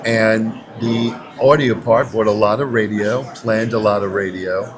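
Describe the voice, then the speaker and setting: A man in his sixties with a lowish voice talks as an executive guest on a podcast. He sounds earnest, stressing points by rephrasing them. A crowd chatters clearly behind him. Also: fairly even intonation, measured pace. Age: 60s. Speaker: interview guest, advertising agency chief executive. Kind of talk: conversational podcast interview. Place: podcast booth at a busy conference.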